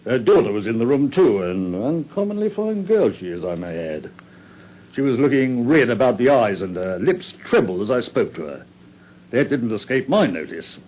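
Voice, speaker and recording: An actor playing a boastful, self-satisfied Victorian police inspector with a British accent, husky and excitable, in a radio drama. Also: male voice, medium pitch, moderate pace, slight background noise, lightly acted. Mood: self-important recounting. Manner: pompous, excitable. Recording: muffled radio recording.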